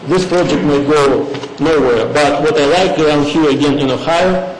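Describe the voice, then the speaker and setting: A middle-aged man speaking at public comment in a township meeting, halting and earnest, English. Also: on the high side. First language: not English. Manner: halting, earnest, with frequent pauses and fillers. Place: large meeting hall. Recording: echoey hall recording, faint hum.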